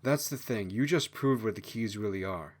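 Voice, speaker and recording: A man voicing a stern, earnest male fox who speaks gravely in a fantasy audiobook. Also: on the low side, normal speed, lightly acted.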